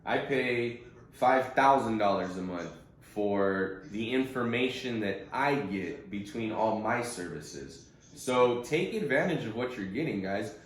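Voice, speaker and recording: A man's voice: fairly low pitch, animated, measured pace. A man coaching day traders in an informal monologue, blunt and insistent, repeating words for emphasis. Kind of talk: informal instructional monologue on a group call. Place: conference call recording in echoey room. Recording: very echoey, reverberant room.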